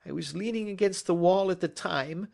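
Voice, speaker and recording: A man's voice: high voice, normal speed, vocal fry, a little mellow, pitched above the performer's own voice. A man voicing a frightened, cringing small-time thief in a detective audiobook, pleading and servile, with a cracking voice. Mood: anxious confessing. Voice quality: cracking, shrieking when desperate. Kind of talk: classic detective audiobook character acting.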